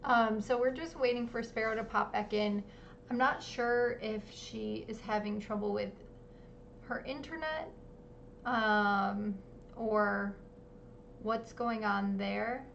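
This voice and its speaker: A woman hosting a live-streamed artist interview, talking casually with lots of ums and quick apologies. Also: on the high side, fairly even intonation, vocal fry, a bit bright, lo-fi sound, slight background noise. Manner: chatty, many ums, apologetic. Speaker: livestream interview host. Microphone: home streaming setup.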